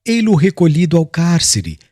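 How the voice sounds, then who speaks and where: A man's voice: a little high, huge pitch swings, moderate pace, no echo. A man narrating an audiobook in a formal, literary and dramatic scripted style. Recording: soft music underneath.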